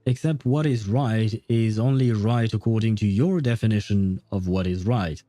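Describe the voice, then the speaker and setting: Man, game livestreamer, relaxed dark tone, informal explaining, opinionated, dry close mic, game music behind. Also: lowish voice, moderate pace, no room sound. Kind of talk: casual live gaming commentary, explaining.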